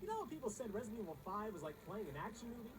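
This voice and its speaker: A woman's voice: mid-range voice, sing-song, very fast, slight background noise, rough recording. A woman reading scripted comedic countdown narration in a sarcastic, snarky manner.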